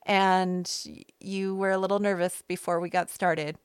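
A woman's voice: lowish voice, flat delivery, moderate pace, clear, resonant tone, no room sound, clean studio sound. A middle-aged American woman hosting a casual podcast, telling stories in a rambling, self-deprecating way. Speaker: podcast host. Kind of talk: casual conversational podcast chat. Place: small loft space at home.

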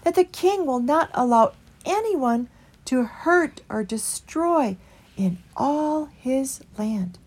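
A woman's voice: sits high, animated, slow, a little mellow, slightly rough, pitched above the performer's own voice, heavily acted. A woman voicing an earnest young male mouse who carries a heavy burden.

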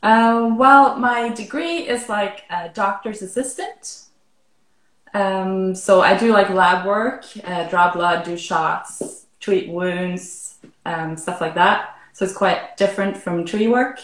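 A woman from Norway chatting casually as an interview guest, relaxed and full of "like" and "um", heard over a remote call. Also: mid-range voice, takes it slowly, reverberant, rough recording. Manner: relaxed, frequent "like" and "um". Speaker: arborist guest.

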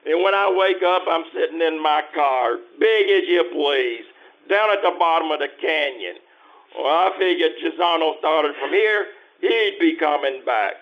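Guy doing a slick little crook from an old radio play, kinda high voice, talks fast n slangy, muffled sound. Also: expressive, close to an everyday voice. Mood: sly. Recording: muffled lo-fi recording.

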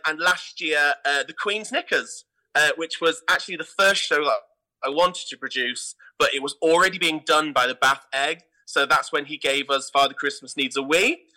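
A middle-aged man, telling stories casually as an interview guest, in an animated way. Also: a little high, rough recording. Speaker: theatre artistic director, podcast guest.

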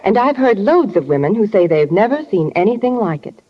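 Animated woman in a vintage radio ad. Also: medium pitch, brisk. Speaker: radio ad pitchwoman. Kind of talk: 1940s radio sponsor ad. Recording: dull 1940 radio recording.

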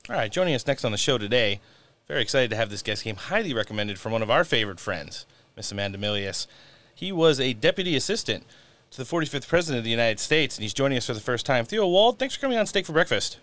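Male show host, quick and smooth, relaxed political chat. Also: medium pitch. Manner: loose, filler-heavy with "you know". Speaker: podcast host. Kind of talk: casual political talk show.

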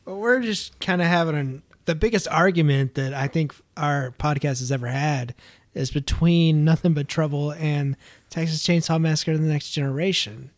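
Higher-voiced man, dry close sound. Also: normal speed, no echo. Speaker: podcast co-host. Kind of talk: casual podcast banter.

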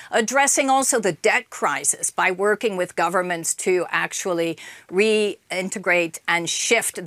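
A woman's voice: fairly low pitch, fairly bright tone, no echo. A woman speaking as an expert guest in a news interview, articulate, emphatic and formal. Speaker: interviewed expert, organization co-president.

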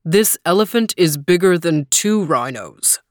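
A woman's voice: lowish voice, expressive, unhurried, no room sound, clean studio sound. A woman voicing a blunt male court official who was once a baker, in a storybook reading. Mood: scoffing.

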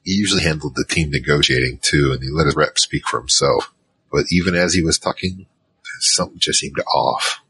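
A man's voice: a bass voice, a little fast, bright, forceful tone, a little hiss or hum, exaggerated voice, low-quality recording. A man playing an easygoing, nerdy troll mage who narrates his own story in a casual, rambling, slangy way for an audio drama. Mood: wry reminiscing. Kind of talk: conversational first-person drama narration.

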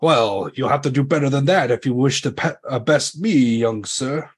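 A man acting out a proud knight of Arthurian legend in a tabletop game. He is hearty and honor-bound, speaking in courtly phrases. The voice is husky and sits at a middling pitch for a man. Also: moderate pace, natural voice. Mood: haughty. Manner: courtly, old-fashioned phrasing.

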